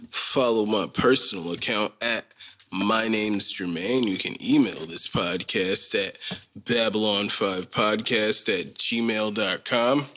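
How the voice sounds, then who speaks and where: Slow-talking casual man in his thirties. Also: fairly low pitch, a bit bright. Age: 30s. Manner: loose, rambling, slangy and joking. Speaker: podcast host. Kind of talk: casual solo podcast chat.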